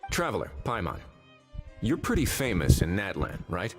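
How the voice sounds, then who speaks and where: An actor playing a composed, analytical young investigator in a video game, speaking in a measured, articulate way. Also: male voice, fairly low pitch, wildly swooping pitch, creaky, music in the background, heavily acted. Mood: calm, reasoning. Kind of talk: English game voice acting.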